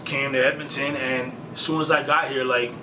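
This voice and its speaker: A man's voice: medium pitch, flat delivery, fairly quick. A man, a rapper talking in a casual interview in a laid-back, slangy and profane way. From Canada. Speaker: rapper guest. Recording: roomy, distant mic with loud background hum.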